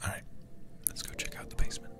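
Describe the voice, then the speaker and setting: A man softly whispering close to the microphone in an ASMR game stream, reading text deliberately and murmuring intimate asides to his viewers. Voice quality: breathy whispering, low and gravelly. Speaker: livestreamer playing a horror game.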